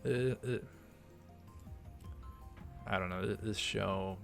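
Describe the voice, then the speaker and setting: A man's voice: on the low side, fairly even intonation, takes it slowly, creaky, fairly bright tone. A man hosting a let's play, reading a visual novel aloud and adding casual, hesitant, rambling asides. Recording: faint music behind. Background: visual novel background music.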